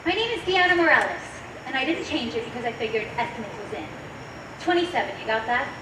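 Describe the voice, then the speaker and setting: A woman acting a brash, quick-talking young dancer on a stage, with sharp sassy retorts. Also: fairly high pitch, animated, close to an everyday voice. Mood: defiant. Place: theater stage. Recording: echoey stage from far off, noisy.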